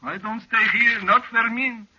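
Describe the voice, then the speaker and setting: An actor playing a frightened, stubborn desert helper in a 1940s radio drama, speaking emphatic broken English mixed with Spanish in a Mexican accent. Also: male voice, extremely high pitch, steady tone, a little slow, bright, forceful tone, breathy edge, full character voice. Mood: scared, insistent. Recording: muffled vintage radio recording.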